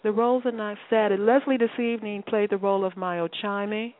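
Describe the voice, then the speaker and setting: A woman's voice: medium pitch, fairly even intonation, fairly quick. A woman hosting a spiritual call-in radio show, who talks in a reflective, teacherly way with restarts. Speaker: host. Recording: phone-line call-in audio.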